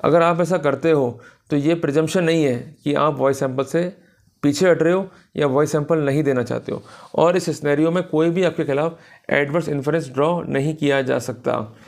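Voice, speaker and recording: A man's voice: fairly high pitch, gravelly, a little mellow. A man with an Indian accent giving an explanatory law lecture in a didactic way, addressing his listeners directly and switching into English legal terms.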